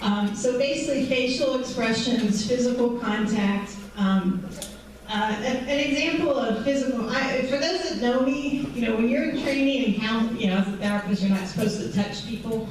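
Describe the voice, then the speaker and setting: A woman in her forties with a high voice, a counselor giving a conversational presentation to a patient group, peppered with um and right. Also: moderate pace. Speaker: mental health counselor. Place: large echoey event room. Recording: echoey hall, distant mic, faint noise.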